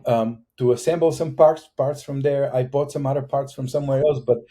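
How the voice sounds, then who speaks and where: Dark-voiced Bulgarian-accented middle-aged man. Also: medium pitch, steady tone. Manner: halting, many fillers and restarts, laughs often. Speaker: guest engineer. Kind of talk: casual interview chat.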